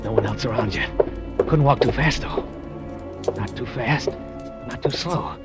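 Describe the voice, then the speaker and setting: A man's voice: mid-range voice, measured pace, lightly acted. An actor narrating as a drugged, panicky male factory worker in a 1940s radio drama. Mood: tense. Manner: breathless, panicky first-person narration. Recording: old radio broadcast with music.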